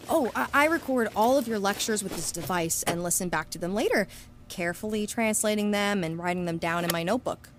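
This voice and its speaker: A woman's voice: mid-range voice, brisk, background noise, lightly acted. A woman playing a confident, scheming young student and true-crime podcaster in an audio drama, polite but pointed. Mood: polite, eager. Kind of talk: scripted audio drama acting.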